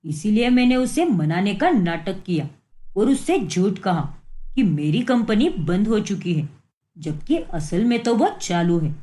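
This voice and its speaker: A woman's voice: medium pitch, sing-song, a little slow, dark tone. A woman voicing a deceitful, self-pitying young husband in a cartoon moral story, with a dramatic, whiny delivery. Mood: sly, smug.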